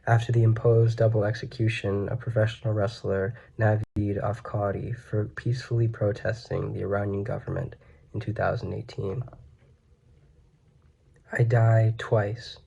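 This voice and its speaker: A young man in his twenties solemnly reads his poetry in a measured way, in a small room on a webcam mic. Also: lowish voice, little pitch movement, creaky, warm tone, breathy edge, rough recording. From United States. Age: 20s. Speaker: poet. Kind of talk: poetry reading. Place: small plain room indoors.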